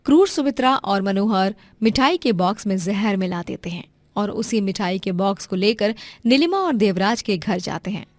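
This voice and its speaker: A woman's voice: mid-range voice, lively intonation, moderate pace, few pauses, darkish tone, dry recording. A woman narrating a scripted moral story as its storyteller.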